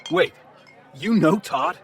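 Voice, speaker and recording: A man's voice: fairly high pitch, animated, slight background noise. A man playing an earnest, polite synthezoid adventurer in a fantasy audio drama. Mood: astonished. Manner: earnest, polite, measured reasoning.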